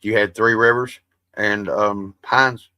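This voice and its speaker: A man's voice: fairly low pitch, steady tone, takes it slowly, pushed and bright, smooth, no room sound. A man hosting a livestream, chatting casually with his viewers in a rambling, profane way. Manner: self-described soothing voice. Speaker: livestream host. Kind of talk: casual stream chat.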